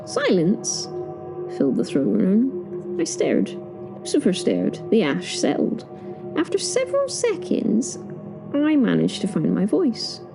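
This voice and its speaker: A woman playing a wry, awed witness, narrating a horror audio drama in the first person with suspenseful pauses. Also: fairly high pitch, huge pitch swings, vocal fry, heavily acted. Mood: awed, tense. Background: music.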